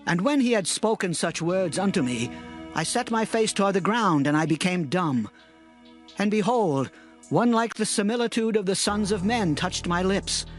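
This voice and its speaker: Humble biblical prophet played by a man, high male voice, reverent and deliberate, faint music behind. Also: expressive. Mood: awed, recounting. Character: humble, awestruck male prophet recounting his vision. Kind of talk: dramatized scripture narration.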